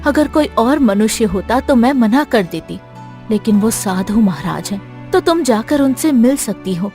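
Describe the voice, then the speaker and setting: A woman voicing a gentle, protective mother cow in a cartoon moral story. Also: on the high side, expressive, measured pace, soft background music. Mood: caring, cautioning.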